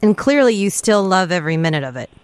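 A woman's voice: medium pitch, sing-song, fast talker, a little hiss or hum. A woman asking questions as a radio interviewer in a casual, conversational style.

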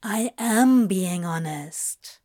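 A woman's voice: mid-range voice, animated, drawn-out words, mellow. A woman voicing a guarded, laconic male demon in an audiobook story, with a clipped, mumbling, offhand drawl.

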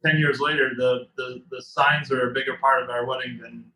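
A man from Massachusetts in his thirties, a business co-founder telling his story as a podcast guest in a relaxed way. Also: mid-range voice, a little fast, reverberant, slight background noise, low-quality recording. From Massachusetts, South Shore. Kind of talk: casual interview chat.

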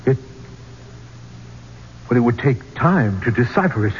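A dull, slightly noisy old radio broadcast carries a man acting as a tense archeologist trapped deep in a tomb. His voice sits at a middling pitch. He delivers his lines haltingly and with drama, in the style of seventies radio theater. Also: lively intonation, close to an everyday voice. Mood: grim.

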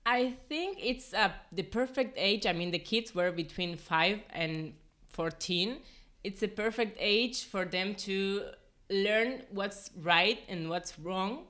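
Bright-voiced young woman, earnest and informal. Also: mid-range voice, slow. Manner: earnest, informal, frequent "like" and "you know". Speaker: podcast guest, former volunteer. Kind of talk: casual personal storytelling in an interview.

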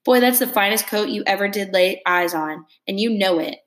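A woman's voice: medium pitch, moderate pace, slight background noise, close to an everyday voice. A woman reading an indignant young girl. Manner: indignant, emphatic. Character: spirited young girl, fiercely loyal.

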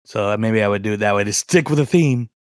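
A man's voice: on the low side, fast, no echo, studio quality. A man co-hosting a podcast in casual chat, informal and joking, with some self-deprecation. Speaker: podcast co-host.

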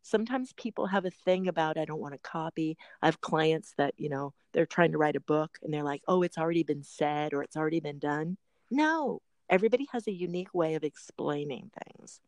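A woman's voice: deep voice, brisk, a little mellow, dry recording, studio quality. A middle-aged woman hosting a podcast, chatting casually with frequent laughs and rambling asides. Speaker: podcast host and personal trainer.

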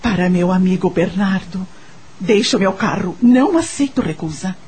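Radio drama casting: the dignified, bitter lady of a mansion, Brazilian accent, very husky, formal and commanding. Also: medium pitch, animated, normal speed, a little mellow. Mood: composed, generous. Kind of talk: Portuguese-language radio drama acting.

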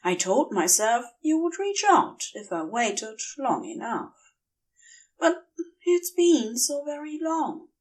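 Woman doing a gentle dazed angel guy, high soft pleading voice, slow and halting, bit far from mic.

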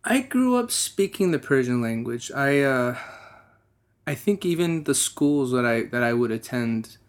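A man's voice: mid-range voice, animated, measured pace. A young man from the United States, a guest musician, speaking in a casual interview with a thoughtful manner and frequent restarts.

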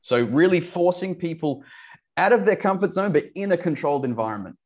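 A man's voice: fairly high pitch, expressive, a little fast, a little mellow. A man with a light Australian accent that sometimes sounds English, a teacher chatting as a podcast guest, full of restarts and fillers. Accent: light Australian, partly English-sounding. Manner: chatty, frequent restarts and fillers, laughs easily. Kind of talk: casual podcast interview.

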